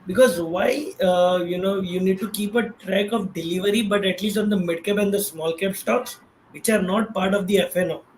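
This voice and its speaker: A man's voice: sits high, fairly even intonation, moderate pace. A man with an Indian accent explaining things as a guest expert in a casual, conversational way, checking in as he goes. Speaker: guest expert, trading professional. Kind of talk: casual explanatory talk on stock trading. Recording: roomy echo, faint background noise.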